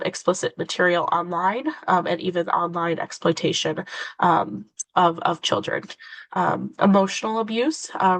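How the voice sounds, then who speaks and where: A woman presenting a webinar as a prevention education coordinator, slightly nasal from a cold, pausing often with many fillers. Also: lowish voice, fairly bright tone, dry recording, low-quality recording.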